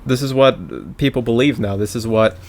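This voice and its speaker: A man's voice: mid-range voice, normal speed, a little mellow, a little hiss or hum. A man co-hosting a political talk podcast, speaking informally and conversationally with hesitant starts.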